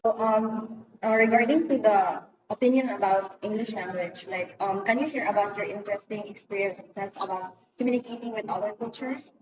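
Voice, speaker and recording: A woman interviewer reading out her questions slowly and deliberately. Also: mid-range voice, dull audio, crowd noise. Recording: distant, echoey room.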